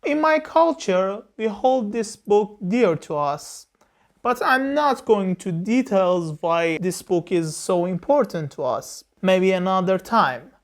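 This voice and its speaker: Slow-speaking woman host. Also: medium pitch, expressive. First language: Persian. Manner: measured, lecturing delivery with frequent "uh" pauses. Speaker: film review host. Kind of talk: explanatory film review talk.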